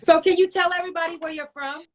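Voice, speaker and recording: A woman's voice: extremely high pitch, fairly even intonation, fast. A woman hosting a casual interview podcast, chatting in an affirming, slangy way. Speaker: podcast host.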